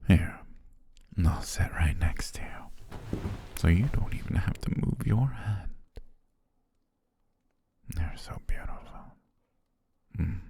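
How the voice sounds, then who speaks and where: A man playing a doting, obsessive butler and softly menacing fiancé in intimate ASMR roleplay, tender, measured and coaxing, close to the microphone. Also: deep voice, lively intonation, exaggerated voice. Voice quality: breathy, husky, gravelly, often near whisper. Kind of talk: intimate ASMR roleplay, slow whispery romance acting. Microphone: close, dry studio microphone.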